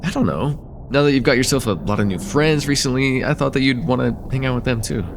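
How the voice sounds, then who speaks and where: A man's voice: mid-range voice, brisk, gravelly, noisy background, natural voice. A young man playing a friendly, slightly nervous college student in a casual, stammering slice-of-life ASMR roleplay chat. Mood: shy, hopeful. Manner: hesitant, stammering, self-deprecating, casual.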